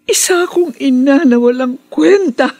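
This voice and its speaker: An actress playing a despairing, self-loathing mother in a Filipino radio melodrama, anguished and drawn-out. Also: sits high, a little mellow, no room sound, faint music behind. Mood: anguished, tearful.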